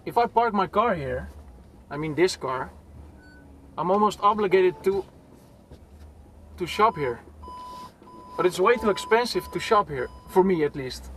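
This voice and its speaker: A man's voice: sits high, fairly bright tone, a little hiss or hum. A young man from Poland chatting casually with a passenger while driving, laughing often and restarting his sentences, recorded on a dash camera inside a car. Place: inside a car in city traffic. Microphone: dash-mounted camera.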